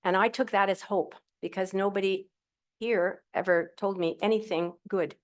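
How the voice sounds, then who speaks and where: A middle-aged woman speaking as a panel guest at a live event, using a handheld mic. She tells her story in a cheerful, candid and rambling way. Her voice sits at a medium pitch. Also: steady tone, moderate pace. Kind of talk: telling her personal story. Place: event hall with audience. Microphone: handheld microphone.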